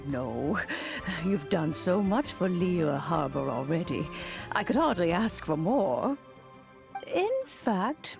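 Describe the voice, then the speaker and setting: A woman voicing a kindly, genteel immortal granny in a video game, husky and formally spoken. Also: on the low side, exaggerated voice. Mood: warm, welcoming. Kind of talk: scripted game character dialogue.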